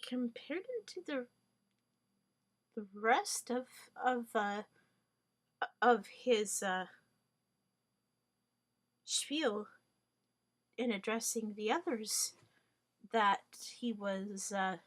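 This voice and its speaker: A woman's voice: fairly high pitch, animated, extremely slow, pauses often. A woman whose first language is Hungarian, giving a wry, rambling monologue full of fillers, restarts and mock-formal wording. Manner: hesitant, many fillers and restarts.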